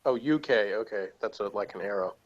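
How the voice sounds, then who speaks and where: A man's voice: on the low side, a little fast. A man hosting a casual episode review. He is sarcastic, chuckles often and reads from his notes. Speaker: podcast host reviewing an episode. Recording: dull lo-fi audio.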